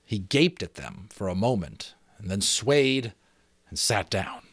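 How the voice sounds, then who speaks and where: A man's voice: lowish voice, expressive, unhurried, fairly bright tone, breathy edge, no echo, studio quality. A man narrating expressively in an audiobook style, as an amateur host with a casual touch.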